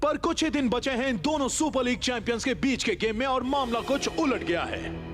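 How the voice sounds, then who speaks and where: Very high-pitched sensational sports reporter. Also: male voice, expressive, normal speed. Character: excitable male sports TV reporter, dramatic and sensational. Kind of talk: dramatic sports news announcing in cartoon dub. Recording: loud music behind the voice.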